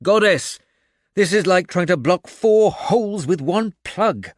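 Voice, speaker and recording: A man voicing a gruff fighter who barks his words in the heat of battle. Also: high voice, lively intonation, unhurried, dry recording, studio quality, pitched above the performer's own voice. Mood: urgent. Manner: barking.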